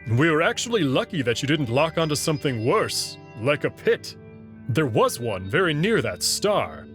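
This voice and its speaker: A man voicing a guarded male wolf who captains a ship, speaking in a measured, matter-of-fact way while reading a visual novel aloud. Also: a little high, big pitch swings, fairly bright tone, faint music behind.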